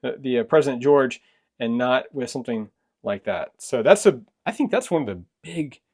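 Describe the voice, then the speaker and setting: A man's voice: mid-range voice, expressive, moderate pace, darkish tone. A man hosting a casual chat, asking questions and summing up the main points in plain terms. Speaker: host, parent co-presenter.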